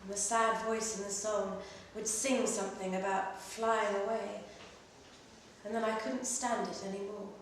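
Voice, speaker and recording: A woman's voice: medium pitch, level tone, unhurried. Woman reading aloud in a very echoey room. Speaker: story reader performing at a literary festival. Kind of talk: dramatic literary reading of a first-person short story. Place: live reading in a library. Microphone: distant microphone. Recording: very echoey room, distant mic, faint noise.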